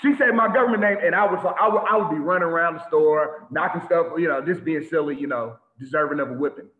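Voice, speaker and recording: A man preaching an animated sermon to a congregation over a video call, with lots of repetition and sudden shouts. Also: a little high, fast. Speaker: teacher of a congregation. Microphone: video call webcam. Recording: tinny phone-like echoey audio.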